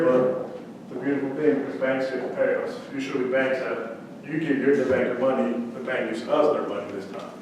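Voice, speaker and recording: A man from central Mexico whose first language is Spanish, giving a lively startup pitch and quizzing the audience. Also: distant mic, a little hiss or hum. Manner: conversational, quizzes the audience with questions. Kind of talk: energetic startup pitch talk. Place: event room with audience. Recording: echoey room, speaker away from mic.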